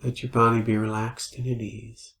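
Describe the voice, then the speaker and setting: A man leading a guided meditation as a teacher, speaking in gentle, unhurried invitations with pauses. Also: medium pitch, little pitch movement. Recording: roomy, echoing space.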